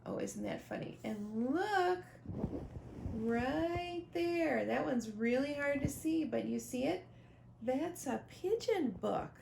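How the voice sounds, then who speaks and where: A woman reading a children's picture book aloud expressively, pausing to talk directly to young listeners about the pictures. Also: sits high, animated, vocal fry, a little mellow. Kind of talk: animated children's storybook read-aloud with asides. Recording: roomy echo, faint background noise.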